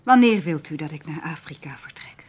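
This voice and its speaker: A breathy, husky woman, anxious and intense. She hesitates and repeats herself, yet sounds determined, in the manner of radio drama. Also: low voice, expressive. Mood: flustered, hesitant. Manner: hesitant, halting, intense delivery. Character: anxious, determined actress searching for her missing brother. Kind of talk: Dutch radio play acting.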